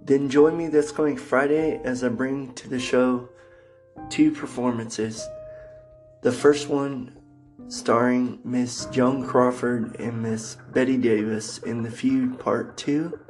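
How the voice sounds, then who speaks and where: A man's voice: medium pitch, fairly even intonation, takes it slowly, darkish tone, poor audio. A man hosting a podcast, presenting casually and addressing listeners directly while using polite titles for performers. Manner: addresses listeners as guys, formal Miss and Mister titles. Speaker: podcast host. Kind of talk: casual radio-show presenting. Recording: faint music behind the voice.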